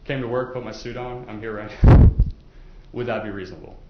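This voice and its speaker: A man's voice: lowish voice, level tone, brisk. A man speaking as a prosecutor questioning prospective jurors in a chatty, informal way, using everyday examples. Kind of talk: informal courtroom jury questioning. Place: courtroom. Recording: echoey, muffled, noisy courtroom recording.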